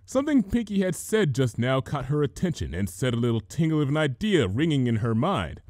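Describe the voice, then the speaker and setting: A man narrating a comic fantasy story as an expressive reader. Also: medium pitch, a little fast, no echo, clean studio sound. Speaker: fan fiction reader.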